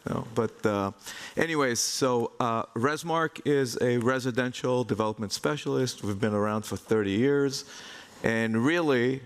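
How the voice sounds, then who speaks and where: A middle-aged man with an Israeli accent speaking as an executive guest in a conference interview, in measured phrases with pauses. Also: medium pitch. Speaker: real estate investment executive, panel guest. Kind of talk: conference interview, anecdotal talk. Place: conference hall stage. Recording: reverberant hall sound.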